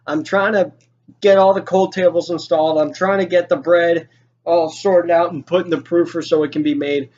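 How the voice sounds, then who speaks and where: A man's voice: a little high, fairly even intonation, moderate pace, a little mellow, lo-fi sound. A young man telling a personal anecdote in an informal, sweary, exasperated way, acting out what people said. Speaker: storyteller. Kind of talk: animated personal anecdote with acted-out quotes.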